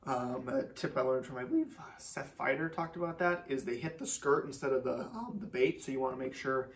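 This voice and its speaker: Young male host, relaxed filler-heavy explainer, mic set back in a garage. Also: mid-range voice, brisk, distant mic, a little hiss or hum. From Minnesota. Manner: relaxed, many fillers, repeats words for emphasis. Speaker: fishing channel host. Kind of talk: casual tackle walkthrough. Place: garage workshop, seated indoors. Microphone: camera a few feet away.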